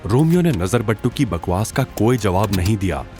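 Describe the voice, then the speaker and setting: A man's voice: lowish voice, sing-song, moderate pace, music in the background. A man narrating a dramatic scripted audio drama series as its storyteller.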